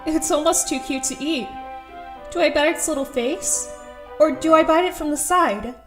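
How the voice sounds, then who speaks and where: A woman's voice: sits high, moderate pace, music in the background, natural voice. A woman playing an awkward, earnest teenage schoolgirl who narrates her thoughts in an audio drama, a little hesitant. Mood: observant.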